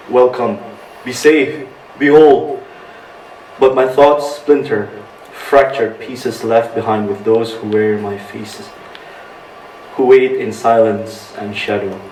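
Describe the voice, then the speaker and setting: A man from Indonesia, reading poetry at an open mic in an earnest, deliberate way. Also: fairly low pitch, a little mellow. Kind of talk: live poetry reading with halting spoken introduction. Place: indoor bar venue at a live open-mic night. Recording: roomy, distant mic, faint background noise.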